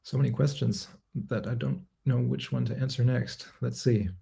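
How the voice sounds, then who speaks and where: A reflective man in his thirties speaks at his desk in a book-lined home study, picked up by his webcam on a livestream. His voice is dark and mellow, with a gravelly fry at the ends of phrases. He answers viewers' questions in a measured, unscripted way, with fillers and self-corrections as he thinks aloud. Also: mid-range voice, little pitch movement. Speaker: composer hosting a livestream Q&A. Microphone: webcam framing at desk, livestream.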